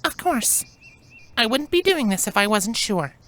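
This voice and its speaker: A man puts on a young female pony for a storybook reading. She sounds very high and slow, with lively swings in pitch. Her manner is gentle, composed and quietly proud. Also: full character voice. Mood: reassuring. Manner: gentle, composed, careful delivery.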